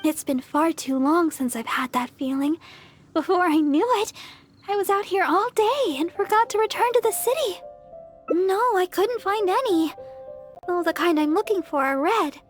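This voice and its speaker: A woman playing a gentle, earnest young deaconess in a video game, speaking softly, politely and a little hesitantly. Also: very high-pitched, normal speed, faint music behind. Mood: wistful, then puzzled. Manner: soft, sweet, polite, hesitant. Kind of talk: English video game voice acting.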